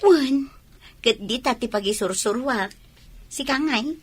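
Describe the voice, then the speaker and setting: A woman's voice: fairly high pitch, slow pace, breathy edge. A woman with an Ilocano accent acting as a cheerful, chatty middle-aged teacher in a radio drama, full of exclamations.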